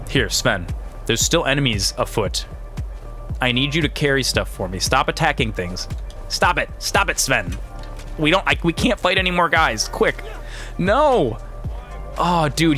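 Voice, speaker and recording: A man's voice: mid-range voice, big pitch swings, unhurried, sharp tone, soft background music. A man in his thirties giving casual, rambling gameplay commentary in slangy, exclaiming speech. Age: 30s. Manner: rambling, slangy, often says "dude". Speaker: gaming video creator and streamer.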